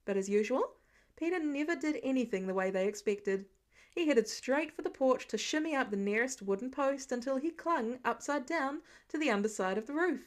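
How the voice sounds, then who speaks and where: High-voiced woman reading a story. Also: expressive, moderate pace. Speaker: audiobook-style fan fiction reader. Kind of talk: expressive scripted story narration.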